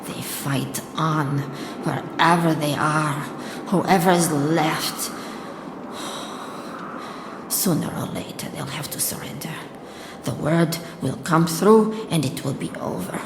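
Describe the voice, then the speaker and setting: Echoey, low-voiced weary woman soldier. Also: takes it slowly, background noise. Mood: bitter, brooding. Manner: talking to herself, musing in fragments. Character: weary hungry woman soldier, bitter, scornful lone war survivor. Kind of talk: dramatic audio drama monologue. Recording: echoey, long reverb, music underneath.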